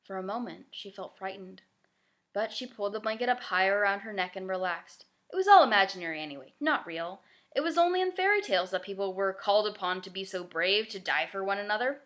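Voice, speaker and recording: A woman's voice: medium pitch, fast talker, a bit bright. Woman reading a children's novel aloud. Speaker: read-aloud narrator. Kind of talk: expressive scripted narration of a children's novel.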